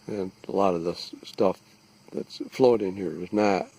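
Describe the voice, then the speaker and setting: A man giving a halting, deliberate, matter-of-fact informal field narration outdoors, recorded on a handheld device. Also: sits low, darkish tone. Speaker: lake resident surveying an algae bloom. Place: outdoors, out on a small lake. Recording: faint outdoor background noise.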